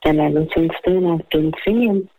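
A woman's voice: low-pitched, moderate pace, dark tone, dry recording, rough recording. A woman from the United States, a singer taking part in a phone interview, talking in an upbeat, casual and self-assured way. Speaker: singer, guest on a phone call.

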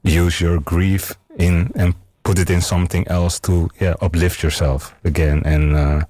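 A man's voice: low-pitched, flat delivery, measured pace, dark tone. A man from the Netherlands with a Dutch accent, hosting a radio show and interviewing a guest in a casual, hesitant way with many fillers.

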